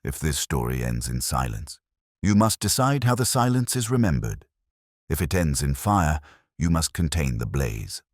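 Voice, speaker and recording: A man's voice: rumbling low, very animated, moderate pace, no room sound, studio quality, full character voice. A man playing a resolute Victorian detective in a storybook reading, terse, solemn and formal. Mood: solemn instruction.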